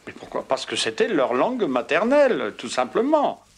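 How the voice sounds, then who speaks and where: A man in his seventies, a native Breton speaker, giving measured, formal interview answers. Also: a little high, huge pitch swings, slightly rough. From Brittany. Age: 70s. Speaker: interviewee, retired print worker.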